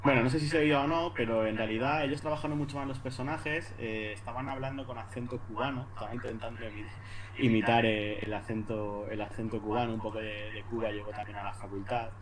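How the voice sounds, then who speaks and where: A man with an accent from Spain giving an informal academic presentation, haltingly, repeating words and adding little tag questions. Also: mid-range voice, fairly even intonation, rough recording. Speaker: university lecturer presenting at an academic conference. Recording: noticeable background noise.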